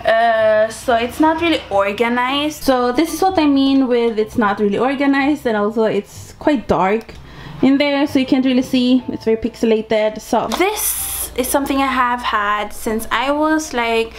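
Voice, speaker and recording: Young female vlogger, very high voice, casual and playful, clear background noise. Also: a little slow. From Sweden. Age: young adult. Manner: playful, exclamatory, slangy. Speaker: vlogger showing childhood belongings. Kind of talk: casual chat to camera.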